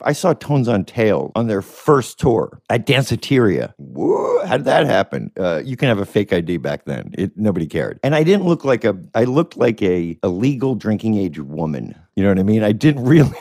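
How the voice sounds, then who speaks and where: Man in his fifties, very deep and lively voice, rambling profane storyteller, dry close mic. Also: creaky, no room sound. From Connecticut. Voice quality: deep masculine voice. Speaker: podcast guest musician. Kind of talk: casual chat.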